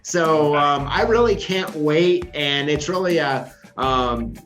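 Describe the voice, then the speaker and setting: A man's voice: a little high, slow pace, fairly bright tone, faint music behind. A male show host interviewing a guest, talking casually and enthusiastically with lots of fillers and rambling asides.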